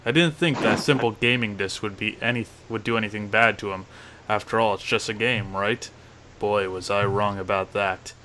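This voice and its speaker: A man reading a first-person horror story as narrator, with expressive, emphatic storytelling. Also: lowish voice, slight background noise, rough recording. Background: music under the narration.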